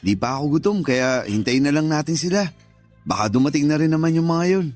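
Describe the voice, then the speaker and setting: A man's voice: a little high, expressive, fairly quick, darkish tone, faint music behind, close to an everyday voice. An actor playing a teasing, pushy married best friend in his thirties, with a Filipino accent, coaxing and joking in a radio drama. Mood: casual.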